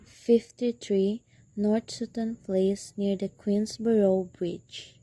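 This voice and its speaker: Young woman playing an anxious wife, dark soft tone. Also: medium pitch, fairly even intonation, slow, dry recording. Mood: worried. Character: anxious, demanding invalid wife, alone at night. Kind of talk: student radio drama acting.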